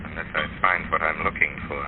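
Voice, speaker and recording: An actor playing a sinister, gloating prowler who mutters menacingly in a 1930s radio drama. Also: male voice, extremely low pitch, steady tone, fairly quick, very noisy. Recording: tinny 1930s radio recording.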